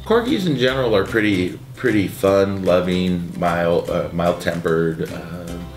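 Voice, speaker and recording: A man in his forties, seated indoors by a window, answering interview questions in a halting way, full of restarts and uhs. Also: sits low, lively intonation, reverberant. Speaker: event co-founder. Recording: music bed underneath.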